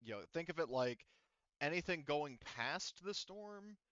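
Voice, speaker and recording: A man's voice: on the high side, lively intonation, unhurried, a bit bright, smooth, no room sound, lo-fi sound. A man running a tabletop game as game master, explaining rules patiently with many fillers.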